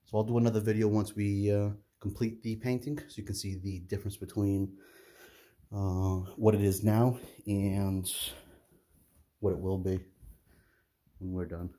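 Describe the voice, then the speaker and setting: A middle-aged man giving a casual, halting walkthrough of empty condo rooms, filmed on a handheld phone as he walks. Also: fairly low pitch. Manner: relaxed, halting, many "uh" fillers. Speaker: property investor giving a walkthrough. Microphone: phone camera held while walking.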